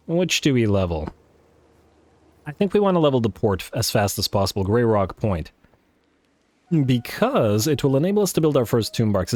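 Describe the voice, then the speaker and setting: A man thinking aloud while playing a strategy game, full of fillers and restarts, his voice worn and going hoarse. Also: mid-range voice, darkish tone. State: losing his voice. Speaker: let's play host. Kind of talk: casual strategy game commentary.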